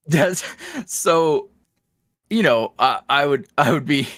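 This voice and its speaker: A man talking casually as a podcast guest, in a rambling, animated, self-deprecating way. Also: a little high, slow pace, dry recording, studio quality. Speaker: podcast guest, ASMR roleplay voice creator. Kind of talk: casual interview chat.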